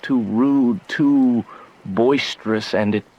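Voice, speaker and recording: An actor playing a lovesick, melancholy young gentleman in earnest, heavy-hearted Shakespearean verse. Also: mid-range voice, slow pace, highs cut off. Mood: gloomy. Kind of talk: theatrical Shakespearean verse acting.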